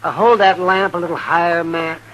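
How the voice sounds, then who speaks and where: An actor playing a matter-of-fact frontier town doctor in a radio western, American, dry and unhurried as he questions and answers. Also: a little high, fairly even intonation, a bit bright, soft background music, natural voice, rough recording. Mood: dry, probing. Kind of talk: 1950s radio western acting. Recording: old radio recording, faint hum and hiss.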